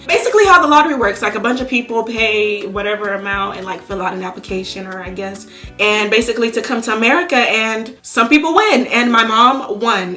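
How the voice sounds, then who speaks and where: A woman's voice: on the high side, fairly bright tone. A young woman from Nigeria chatting casually to her channel while she does her makeup, sassy and full of slang. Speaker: YouTuber. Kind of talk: casual storytime chat while doing makeup.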